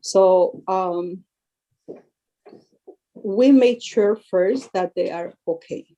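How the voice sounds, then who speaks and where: A woman with a soft, mellow tone talks earnestly as a parent on a panel. She often restarts and repeats words as she looks for the right phrasing. Her pitch sits in an ordinary middle range. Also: very slow, highs cut off. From Costa Rica. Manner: earnest, repeats words while searching for them. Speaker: parent of young actors. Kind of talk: panel discussion.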